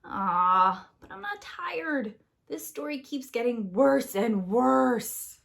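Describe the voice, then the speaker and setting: A woman's voice: a little high, animated, slow pace, vocal fry, a bit bright, exaggerated voice. A woman voicing a whiny, reluctant fairy-tale boy who complains and talks back in a children's storybook. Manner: complaining, protesting, exclaiming. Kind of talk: children's storybook read-aloud.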